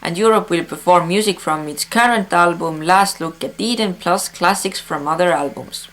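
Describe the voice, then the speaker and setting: Estonian-accented woman, pausing earnestly. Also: fairly low pitch, fairly bright tone. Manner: earnest, with frequent pauses and filler sounds. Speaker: vlog host reading metal news. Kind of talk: casual news vlog.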